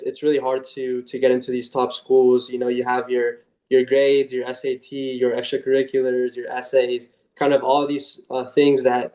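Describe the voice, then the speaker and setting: A man's voice: mid-range voice, mellow. A young man in his late teens giving a semi-formal testimonial as a student guest, in a roomy, echoing recording. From United States.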